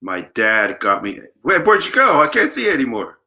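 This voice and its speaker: A man's voice: medium pitch, wildly swooping pitch, moderate pace, pushed and bright. A man from Los Angeles, a guest musician, chatting casually on a livestream. He jokes playfully and tells long rambling stories. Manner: joking, playful, rambling storyteller. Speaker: guest bassist. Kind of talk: casual interview chat.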